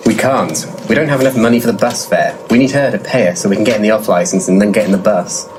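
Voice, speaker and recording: An actor playing a pompous, irritable and shabby paranormal investigator in a comic audio drama. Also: male voice, fairly low pitch, fast talker, noisy background, natural voice. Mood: grumbling, irritable. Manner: pompous, grumbling.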